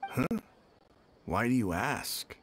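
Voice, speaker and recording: Game role: unbothered mercenary guard, mid-pitched, conversational, swinging intonation. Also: male voice, expressive, slow, a little hiss or hum. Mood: casual, defensive. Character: casual male mercenary guard, defensive, unbothered. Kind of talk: video game voice acting.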